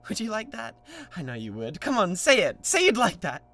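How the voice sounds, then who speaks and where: A woman's voice: medium pitch, wildly swooping pitch, fairly quick, no echo, heavily acted. A British woman playing an obsessive, menacing young yandere classmate in a theatrical ASMR roleplay monologue. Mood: manic coaxing. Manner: theatrical, swinging between hushed coaxing and manic intensity.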